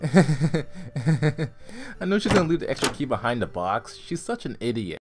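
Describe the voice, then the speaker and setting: A man plays an exasperated schoolboy in an anime parody. He talks to himself with crude sarcasm and scheming asides, his pitch swinging widely. The track sounds roomy and echoey. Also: very animated, moderate pace, over music, natural voice. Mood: muttering, wry. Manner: sarcastic, self-talking, crude. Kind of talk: anime parody fan dub.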